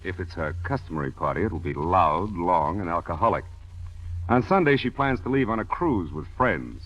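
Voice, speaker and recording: An actor playing a businesslike American government intelligence chief, brisk and dry, in a 1940s radio drama. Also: male voice, low voice, lively intonation, fairly bright tone. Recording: muffled vintage radio broadcast.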